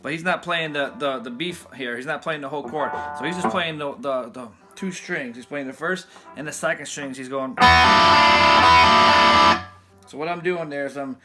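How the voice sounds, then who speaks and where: A man with a fairly high voice giving a casual guitar lesson in a loose, rambling way. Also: brisk, hardly any pauses, poor audio. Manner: loose, rambling, restarts often. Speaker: guitar teacher. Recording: guitar playing loud behind him.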